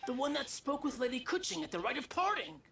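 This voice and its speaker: Bright, creaky gossipy onlooker. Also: female voice, sits high, very animated, fast, slight background noise, heavily acted. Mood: wry. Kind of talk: video game voice acting.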